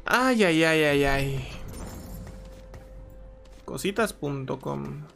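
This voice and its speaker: A young man, chatting casually while playing a strategy game, reading chat and breaking into loud exclaims. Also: fairly high pitch, expressive, very slow, creaky. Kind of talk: casual live gameplay commentary. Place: small room at home. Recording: game music behind the voice.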